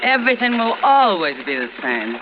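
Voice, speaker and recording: A weary frontier saloon woman on an old radio western, wistful and wry. Her voice sits high and clear over a muffled, hissing broadcast. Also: very animated, brisk. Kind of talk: 1950s radio drama acting.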